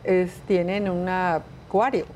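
A woman from Mexico, a tour company owner, answering interview questions in a casual way, pausing to think with fillers. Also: on the low side, steady tone, a little mellow, slight background noise. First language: Spanish. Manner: thinks aloud with pauses and fillers.

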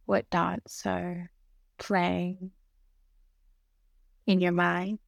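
A woman leads a guided meditation at a very slow, unhurried pace. Her voice sits a little low with a gravelly creak at the edges. She gives gentle, soothing instructions and leaves long pauses between phrases. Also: little pitch movement, no room sound, studio quality.

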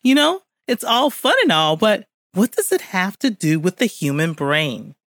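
A woman hosting an interview in a lowish voice, reading a prepared introduction of her guest before asking questions. The sound is dry and close. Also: sing-song, a little slow, a bit bright, dry recording. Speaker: host.

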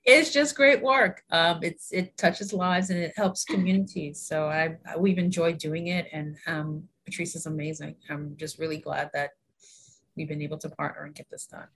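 Interview guest: husky, lower-pitched woman in her forties, earnest and chatty. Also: fairly even intonation, moderate pace, poor audio. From New York, USA. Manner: warm, earnest, laughs often, many fillers. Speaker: guest, health education consultant. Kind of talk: casual interview conversation.

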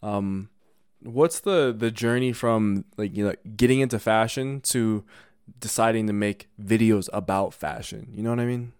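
Male host, smooth clear voice, dry close sound, encouraging, upbeat. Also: lowish voice, steady tone, normal speed, darkish tone, dry recording. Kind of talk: casual interview.

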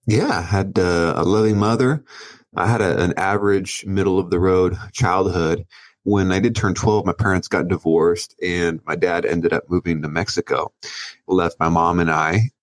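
A man from Southern California, a guest on a podcast, telling his story in a relaxed way with small restarts. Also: deep voice, moderate pace, dry recording. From Oceanside, California, USA. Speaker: guest, business owner. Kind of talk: casual interview.

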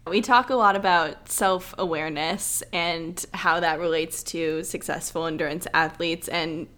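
Woman cohost asking a question, measured and curious, lowish voice. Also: steady tone, fairly bright tone. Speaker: podcast co-host. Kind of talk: interview question.

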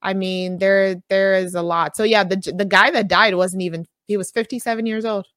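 A woman's voice: mid-range voice, fairly even intonation, moderate pace, fairly bright tone, no room sound. Chatty woman, dry close mic. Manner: chatty, lots of "like" and "you know" fillers. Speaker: podcast co-host presenting the weekly segment. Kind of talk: casual podcast chat.

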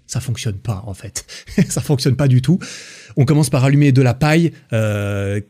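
Mellow-voiced young Frenchman rambling casually. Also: mid-range voice, normal speed. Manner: relaxed, rambling, many fillers and restarts, laughs at himself. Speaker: podcast host. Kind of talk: casual solo podcast monologue.

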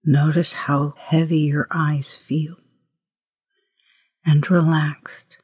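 A woman leading a guided meditation as host, with a hushed, breathy voice and gentle, unhurried instructions. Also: low voice, little pitch movement, no room sound, clean studio sound. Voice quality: breathy, husky, often near whisper.

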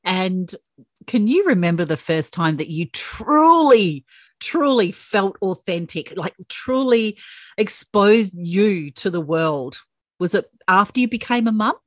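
A woman's voice: medium pitch, expressive, slow, dry recording, clean studio sound. A woman hosting a podcast interview, effusive and encouraging toward her guest. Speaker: podcast host.

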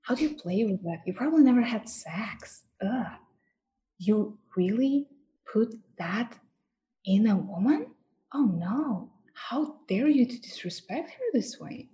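A woman's voice: a little high, lively intonation, a little slow, warm tone, a little hiss or hum, poor audio. A woman with a Russian accent, a guest talking frankly and with lots of laughter about her work, her first language Russian. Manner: frank, laughs often, mock-degrading asides. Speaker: guest, professional dominatrix. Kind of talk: candid interview chat.

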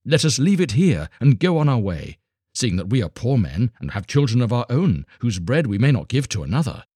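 A man's voice: mid-range voice, very animated, a little fast, dark tone, husky, no room sound, clean studio sound, voice pushed up. A man playing a grudging poor woodcutter. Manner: blunt, grudging. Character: grumbling poor woodcutter, selfish and practical.

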